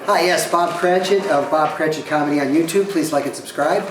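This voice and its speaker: A man's voice: a little high, fairly quick, over music, lightly acted. A man playing a meek, flustered, apologetic office clerk in an amateur comic dramatic read, hesitant and deferential. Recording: echoey room, distant, clear background noise.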